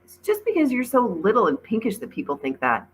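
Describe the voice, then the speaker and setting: A woman's voice: medium pitch, huge pitch swings, a little fast, slight background noise, pitched above the performer's own voice. A woman voicing a blunt, cranky schoolboy in a storybook, with a grumbling manner. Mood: frank.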